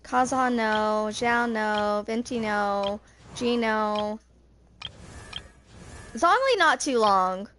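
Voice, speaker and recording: High-voiced young woman rambling casually. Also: slow, long pauses, a bit bright, slight background noise. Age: 20s. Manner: rambling, thinking aloud. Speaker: streamer playing a video game. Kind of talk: casual chat to viewers.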